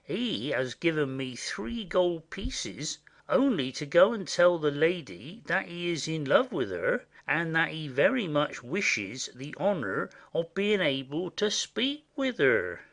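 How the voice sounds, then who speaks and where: Stage comedy audioplay: male actor as gullible rustic go-between, very animated, slow and halting, forever confiding secrets he should keep. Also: on the high side, creaky, full character voice. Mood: chatty, pleased. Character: simple, indiscreet rustic male messenger, conspiratorial and chatty.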